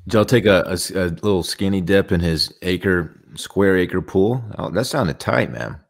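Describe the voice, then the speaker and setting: A young man co-hosting a casual podcast from his living room, upbeat and chummy, often clearing his throat. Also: low voice, animated, moderate pace. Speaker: podcast co-host. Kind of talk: casual chat. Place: home living room, indoors.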